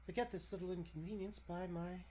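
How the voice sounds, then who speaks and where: A man's voice: high voice, fairly even intonation, a little fast, a little mellow, a little hiss or hum, pitched below the performer's own voice, natural voice. A man playing a mild, timid, stammering newspaper reporter in 1940s radio serial style. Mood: mild, sheepish. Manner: stammering, hesitant.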